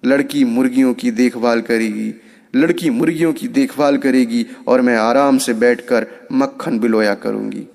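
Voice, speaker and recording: A man's voice: mid-range voice, moderate pace, gravelly, a little mellow, lightly acted. A man voicing a fussy old village granny with a fretful, grumbling manner in a storybook tale. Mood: pleased, relieved.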